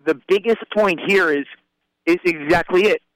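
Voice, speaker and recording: A man's voice: high-pitched, moderate pace, a bit bright, smooth, no echo, low-quality recording. A man from Puerto Rico and the Bronx, a journalist guest making emphatic points on a panel discussion. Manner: emphatic, argumentative, uses "like" and "right?".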